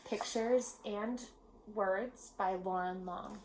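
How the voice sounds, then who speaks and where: A woman reading a children's picture book aloud in a measured, expressive storytelling manner with pauses. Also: mid-range voice, a little hiss or hum, poor audio. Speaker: storybook reader. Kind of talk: children's read-aloud narration.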